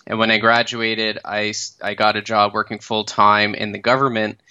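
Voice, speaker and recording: Bright-toned Canadian man, lo-fi. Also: lowish voice, moderate pace, clean tone, no echo. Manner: relaxed, lots of fillers and self-restarts. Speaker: guest, startup founder. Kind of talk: casual interview storytelling.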